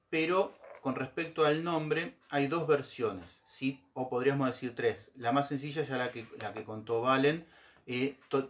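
A middle-aged man telling a folk legend to camera from a living room sofa. He explains step by step and keeps checking in with his listeners. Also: mid-range voice. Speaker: storytelling show host. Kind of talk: casual storytelling to camera.

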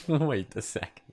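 Young male reaction host, casual and amused, swinging pitch, unhurried pace, close dry mic. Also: vocal fry, mellow, breathy edge. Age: 20s. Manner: amused, breaks into laughter often. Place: small home room. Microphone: close studio mic on boom arm.

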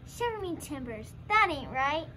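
A woman's voice: high-pitched, very animated, normal speed, bright, forceful tone, slight background noise, full character voice, low-quality recording. A teenage girl playing an earnest, struggling young pirate in a school puppet show. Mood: frustrated, earnest.

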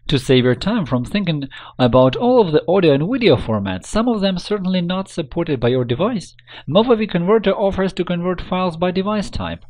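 A man reading a scripted software tutorial in an instructional, step-by-step manner. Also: high voice, expressive, brisk.

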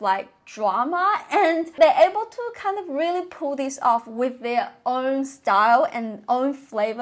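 A young woman giving casual, enthusiastic and expressive reaction commentary to camera, recorded indoors on a clip-on mic. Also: fairly high pitch. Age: young adult. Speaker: reaction vlogger. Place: bedroom, indoors. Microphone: clip-on lapel mic.

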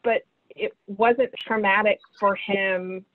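A woman's voice: mid-range voice, fairly even intonation, slow. A woman casually sharing personal stories as a participant in a group discussion on a video call. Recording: thin phone-like video call audio.

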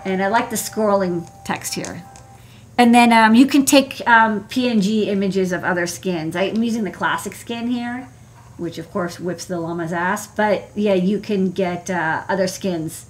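A woman's voice: mid-range voice, measured pace, slight background noise. An American woman, an electronics engineer, giving an informal, rambling explanation with many asides on a casual livestream. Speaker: electronics engineer presenting her work. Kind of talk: casual livestream tech talk. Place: indoor desk workspace.

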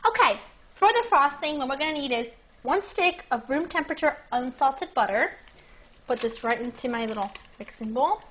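A young woman hosting a cooking demonstration in her home kitchen, chatting brightly and speaking straight to her viewers. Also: high voice, animated, moderate pace, low-quality recording. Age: young adult. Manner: bubbly, chatty, addresses viewers directly. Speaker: cooking show host. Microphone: lapel mic.

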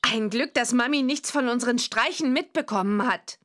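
A woman's voice: on the high side, normal speed, close to an everyday voice. A woman voicing a cheeky, cheerful young girl witch in a children's computer game, exclamatory and sing-song. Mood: fondly reminiscing. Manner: bright, exclamatory, sing-song when chanting spells.

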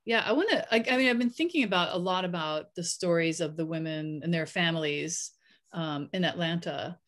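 Halting woman author on a video call. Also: on the low side, a bit bright. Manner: thoughtful, halting with many fillers. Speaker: panelist author. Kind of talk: casual panel discussion. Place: home room, video call. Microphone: webcam on video call.

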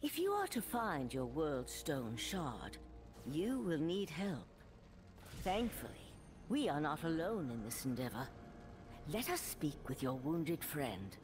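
Solemn wise priestess for a fantasy game, low voice, real slow and formal. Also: female voice, sing-song, over music, full character voice. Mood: solemn, guiding. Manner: stately, formal. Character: wise, solemn high priestess, mentor to a hero. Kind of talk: video game character acting.

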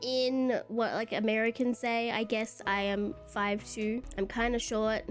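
A young woman with an Australian accent talks through her own introduction slides in a bubbly, chatty way, giggling often. Her voice sits slightly high. A faint bed of music plays behind her. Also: little pitch movement, unhurried. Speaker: VTuber streamer. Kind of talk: casual self-introduction presentation.

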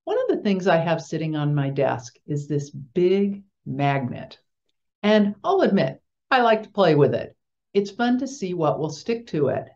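A woman in her fifties, a chaplain giving a gentle, playful children's chapel talk from a small office over a webcam. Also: on the low side, lively intonation. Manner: gentle, playful, slow and clear like talking to children. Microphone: webcam video call. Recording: slightly dull lo-fi webcam audio.

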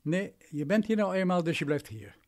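An actor playing a self-important, domineering lawyer in a radio play, patronising and imperious. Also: male voice, high voice, very animated, moderate pace, a little mellow.

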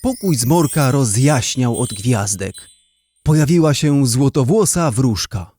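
Unhurried male storyteller with expressive intonation. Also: medium pitch, soft background music. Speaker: narrator. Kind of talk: scripted children's story narration.

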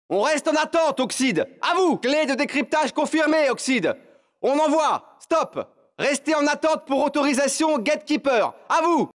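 A man playing an impatient, forceful soldier in a video game, speaking in clipped military radio calls. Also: very high voice.

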